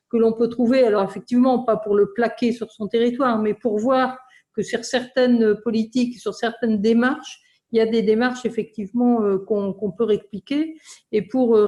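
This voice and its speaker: A woman who is an elected city official, giving an explanatory answer in an online meeting, with measured, hesitant phrasing. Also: a little high, dark tone. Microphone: video call.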